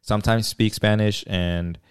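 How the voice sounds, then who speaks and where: Deep, smooth-voiced man hosting a podcast. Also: measured pace. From Mexico. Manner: relaxed, hesitant, many fillers and restarts. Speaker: podcast host. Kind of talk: casual chat.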